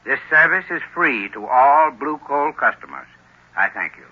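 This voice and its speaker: A man's voice: mid-range voice, animated, normal speed, breathy edge. A man with an American accent giving formal, instructive, scripted advice in a 1930s radio advertisement style. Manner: formal, instructive, carefully paced phrasing. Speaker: heating expert in a radio advertisement. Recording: muffled old radio broadcast.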